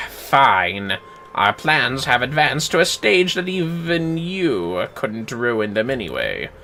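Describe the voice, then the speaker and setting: A man's voice: mid-range voice, very animated, takes it slowly, sharp tone, slight background noise, voice pushed down, full character voice. A man voicing a curt, disdainful police inspector in a video game, clipped and condescending. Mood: grudging. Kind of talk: video game dialogue read aloud.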